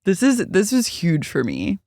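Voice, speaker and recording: A woman with a deep voice co-hosting a podcast, recapping gossip in a casual, deadpan, wry way. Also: a little slow, no echo, studio quality. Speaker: podcast co-host. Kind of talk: casual chat.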